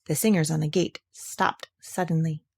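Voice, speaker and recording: A woman narrating a children's storybook in an expressive read-aloud style. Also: low-pitched, moderate pace, creaky. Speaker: storybook reader.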